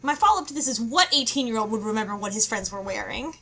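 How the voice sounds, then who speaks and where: A woman's voice: on the high side, lively intonation, quick pace, sharp tone. A woman chatting casually as a podcast panelist, conversational and searching a little for words. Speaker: book club podcast panelist. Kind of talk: casual chat.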